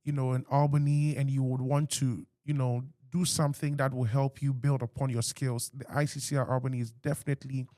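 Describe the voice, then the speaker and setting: A man's voice: medium pitch, flat delivery, fairly quick, hardly any pauses, dark tone, dry recording, studio quality. Ghanaian man hosting a podcast. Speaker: podcast host. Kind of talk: interview questions.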